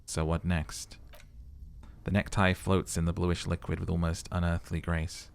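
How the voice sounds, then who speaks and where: A man's voice: low voice, level tone, measured pace, creaky, clear, resonant tone. A man narrating a game playthrough, mixing dramatic reading with casual commentary.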